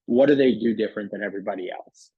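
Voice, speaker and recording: Man, slightly husky voice, dry close-miked sound, casual product demo voice-over, conversational, explanatory. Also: lowish voice, quick pace, no room sound, narrow, tinny audio. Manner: conversational, explanatory sales patter. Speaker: software product demonstrator.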